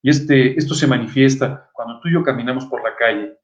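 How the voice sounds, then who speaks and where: Male preacher, mid-pitched, earnest teaching delivery, echoey and slightly dull recording. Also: a little fast. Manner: earnest, didactic, rhetorical questions. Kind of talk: sermon. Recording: echoey room, dull muffled sound.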